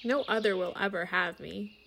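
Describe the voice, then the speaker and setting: A woman's voice: medium pitch, moderate pace, vocal fry, a bit bright. Bitter, weary werewolf woman, noisy backdrop. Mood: weary despair. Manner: sardonic, swearing, wry laughs. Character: bitter, despairing lone-wolf werewolf woman, short-tempered, wry. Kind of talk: naturalistic audio drama acting.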